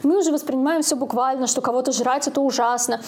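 A woman's voice: high-pitched, fairly even intonation, fast talker, a little mellow. A woman speaking in an explanatory, assertive way as a co-host in a conversational podcast discussion. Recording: faint background noise.

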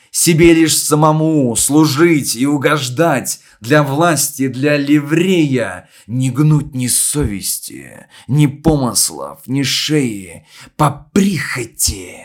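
A man playing a solemn poet who delivers a memorial speech in a measured, declamatory way with deliberate pauses. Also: a little high. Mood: declamatory, elevated. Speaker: reader of a poet's speech in an audio play. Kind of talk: literary oration, declaimed reading.

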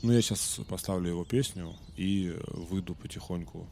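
A man with a low, dark, mellow voice hosts his own podcast while a little under the weather, clearing his throat now and then. He tells his story loosely, full of fillers and self-corrections. Faint background noise sits under his voice. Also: moderate pace. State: slightly sick, occasional throat clearing. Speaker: podcast host. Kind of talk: casual storytelling monologue.

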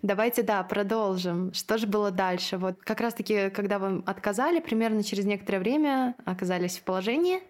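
A woman's voice: medium pitch, brisk, hardly any pauses. A woman interviewer who guides the guest with short, prompting follow-up questions.

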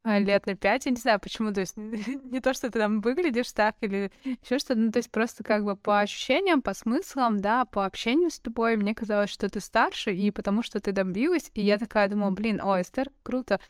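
A woman in her twenties hosting an interview, chatty and excitable, rambling as she talks. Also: mid-range voice, brisk, hardly any pauses, no room sound, clean studio sound. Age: 20s. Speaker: host. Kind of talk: casual interview talk.

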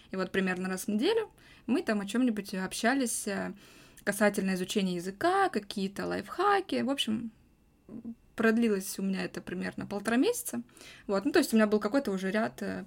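A woman hosting a podcast and telling stories from her teaching work in a chatty, unhurried way. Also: mid-range voice, creaky. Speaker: podcast host and English teacher. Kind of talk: casual podcast conversation.

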